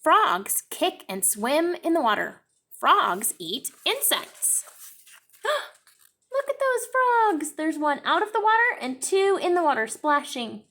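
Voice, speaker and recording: Slow, lively woman reading to kids. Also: sits high. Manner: slow, expressive storytime reading with explanations. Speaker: teacher. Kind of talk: picture-book read-aloud for children. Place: classroom.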